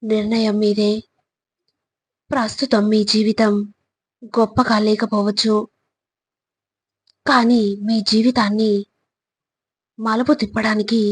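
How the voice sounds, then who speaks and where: Even-toned woman narrating motivational quotes, slightly husky. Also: medium pitch. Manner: instructive, exhorting.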